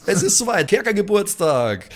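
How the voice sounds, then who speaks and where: A man's voice: sits high, sing-song, normal speed, heavy background noise. A man hosting a podcast, chatting casually and making announcements. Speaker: podcast host.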